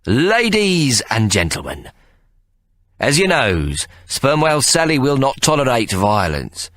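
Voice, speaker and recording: A man's voice: medium pitch, huge pitch swings, unhurried, fairly bright tone, dry recording, voice pushed up. A man voicing a sly, scheming male showman who announces grandly and wheedles. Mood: grand announcing.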